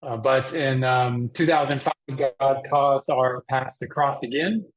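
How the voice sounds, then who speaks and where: A middle-aged man from Texas speaks as a guest in an interview. His voice sits at a medium pitch as he explains things in a conversational way. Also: unhurried, low-quality recording. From Texas, Dallas area. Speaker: guest, mission organization president.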